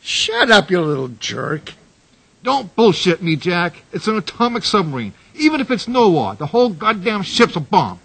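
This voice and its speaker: A man's voice: high voice, sing-song, normal speed, gravelly, a bit bright, slightly rough, full character voice. A man playing a hot-headed, anxious barroom regular in a radio drama, coarse and combative. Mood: angry.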